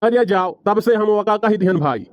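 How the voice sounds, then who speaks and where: A man's voice: high-pitched, moderate pace, dry recording, dull audio, lightly acted. A man playing a rambling Awadhi village husband, dry sound. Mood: defensive. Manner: rambling, bantering, colloquial. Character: excuse-making, argumentative village husband and father. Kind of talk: cartoon comedy dialogue.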